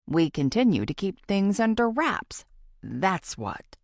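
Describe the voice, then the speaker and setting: A woman voicing a gruff, dismissive male police officer with a deep voice, curt and scornful, in a thriller audiobook. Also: very animated, slow pace, no echo, studio quality, heavily acted.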